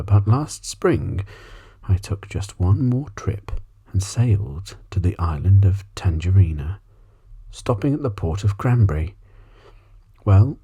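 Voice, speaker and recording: A man voicing a soft, breathy old she-cat storyteller. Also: low-pitched, heavily acted. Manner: courteous, leisurely, reminiscing. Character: old female alley cat, well-travelled, polite storyteller. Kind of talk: ASMR storybook reading.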